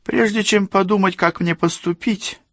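A man's voice: sits high, steady tone, moderate pace, fairly bright tone, no room sound, pitched above the performer's own voice. An audiobook-style portrayal of a cold, manipulative young woman, put on by a male reader. The voice is lifted well above his own, condescending and imperious, in a close, dry recording. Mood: imperious, probing.

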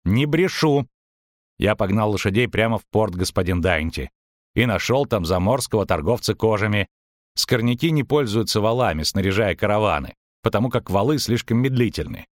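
A man's voice: fairly low pitch, lively intonation, moderate pace, dry recording, clean studio sound, close to an everyday voice. Man voicing a smug, self-assured halfling-shaped shapeshifter. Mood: smug, matter-of-fact. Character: smug shapeshifter copying a male halfling merchant, calm, proud. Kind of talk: audiobook character acting.

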